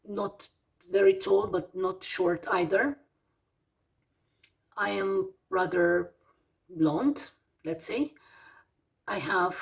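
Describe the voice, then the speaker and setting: A Romanian woman in her forties with a Romanian accent, teaching English vocabulary slowly, with many fillers and pauses as she lists words one by one. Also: mid-range voice, vocal fry, recorded from a distance. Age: 40s. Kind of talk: slow vocabulary lesson.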